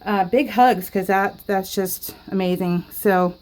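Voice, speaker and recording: A woman's voice: medium pitch, slow pace, a little mellow, poor audio. A woman rambling through a story in casual chat, laughing often, recorded at home. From California. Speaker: scrapbooking vlogger. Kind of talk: casual storytelling while crafting.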